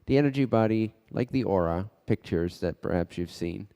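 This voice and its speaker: A man with a mellow, fairly low voice guides a meditation in a long, reverberant space. He speaks in short phrases and leaves pauses between them, unhurried as a teacher leading students inward.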